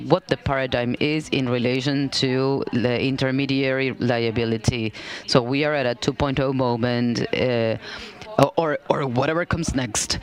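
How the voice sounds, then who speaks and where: A woman who works as a company policy manager, speaking on a conference panel in a conversational way, full of fillers like "like" and "you know". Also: very deep voice. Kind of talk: panel discussion talk.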